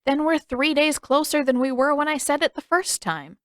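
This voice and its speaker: A woman reader plays an upbeat young boy trying to keep the peace in an audio story. He speaks earnestly and pleadingly, with animated pitch, in a dry, close sound. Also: normal speed, no echo. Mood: reassuring.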